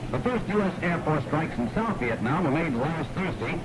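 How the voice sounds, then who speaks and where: A man's voice: a little high, expressive, moderate pace. An American man reading scripted news as a 1960s radio newscaster. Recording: scratchy, distorted 1960s radio recording.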